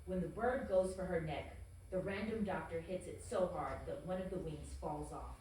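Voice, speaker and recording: A woman's voice: on the low side, normal speed, dark tone. Woman reading script directions at a table read, far from the mic, big echo. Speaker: stage-direction narrator. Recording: very echoey room, distant mic, faint hiss.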